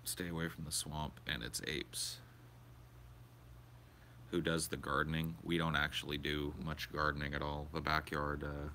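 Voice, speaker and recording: Young guy streaming casually, very low voice, crude rambling, faint noise behind. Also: pushed and bright. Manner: rambling, crude, answers chat questions aloud. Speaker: livestream host. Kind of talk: casual livestream chat with viewers. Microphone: portable camera or phone carried around.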